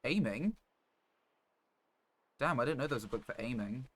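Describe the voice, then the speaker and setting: A man's voice: medium pitch, very fast, fairly bright tone. A man giving casual live gameplay commentary as a streamer, muttering to himself as he plays. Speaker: game streamer. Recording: clear background noise, clicks.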